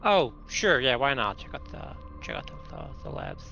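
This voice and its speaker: A man's voice: medium pitch, huge pitch swings, normal speed, vocal fry, bright, forceful tone. A man chatting casually as a player in a tabletop roleplaying game. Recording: dull lo-fi mic, faint music behind.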